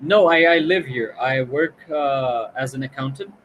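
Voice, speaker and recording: A man's voice: mid-range voice, unhurried, a bit bright, a little hiss or hum. A man with a Pakistani accent, an online English tutor chatting casually on a video call, often restarting and repeating words.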